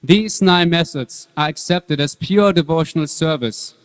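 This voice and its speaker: A man plays a pious young prince in a staged scripture reading. He recites earnestly and in measured phrases, fairly high in pitch, with long hall reverb around him. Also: natural voice. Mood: earnest, devout. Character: devout, serene young boy prince, a pious student.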